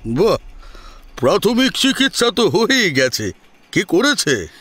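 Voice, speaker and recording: A man playing a genial, brisk family doctor in an audio drama, hearty and reassuring in manner. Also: sits high, very animated, a bit bright, pitched above the performer's own voice, exaggerated voice. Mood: pleasantly surprised. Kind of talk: Bengali audio drama acting. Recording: music under the voice.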